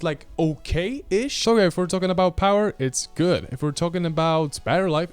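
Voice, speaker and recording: A man's voice: sits high, darkish tone. A man, giving a casual, blunt and slangy product review into a handheld microphone. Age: 20s. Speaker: gadget reviewer. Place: small home room studio, indoors. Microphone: handheld microphone held close.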